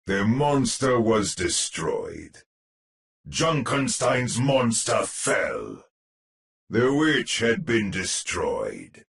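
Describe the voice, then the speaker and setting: A man's voice: lowish voice, very animated, very slow, vocal fry, a bit bright, heavily acted. A man playing a grandiose storyteller who narrates a spooky gothic tale for a game in an ominous, pompous, theatrical way. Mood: grave, dramatic.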